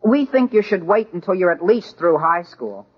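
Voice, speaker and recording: Earnest high school boy, higher-pitched, hesitant and awkward, dull old radio recording. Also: very animated, moderate pace. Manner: hesitant, stammering. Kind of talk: 1940s radio comedy acting. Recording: muffled vintage radio broadcast.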